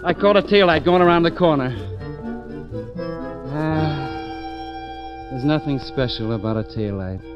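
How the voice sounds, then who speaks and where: A man's voice: fairly high pitch, a little slow, pauses often, over loud music, lightly acted. An actor playing a hardboiled police lieutenant who narrates his own case in a dry, clipped, sardonic way, in an old radio drama. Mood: hardboiled narration. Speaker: narrator and detective. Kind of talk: 1940s radio acting. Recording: muffled old radio recording.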